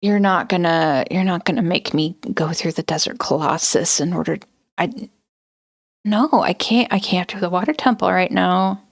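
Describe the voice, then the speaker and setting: A woman's voice: fairly low pitch, normal speed, vocal fry, no room sound, studio quality. A woman streaming a video game from home, chatting casually and thinking aloud with lots of fillers. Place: home, indoors.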